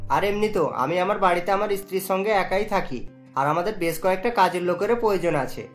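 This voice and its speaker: A woman's voice: fairly low pitch, lively intonation, fast, natural voice. A woman voicing a stern but kindly male householder in a cartoon story, with a firm, questioning manner. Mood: firm, relenting. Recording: music bed under the voice.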